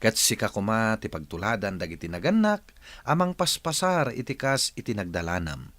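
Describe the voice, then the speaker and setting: A man with an Ilocano Filipino accent hosting a radio drama, reading scripted narration in a measured, emphatic, expressive way. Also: fairly low pitch, no echo.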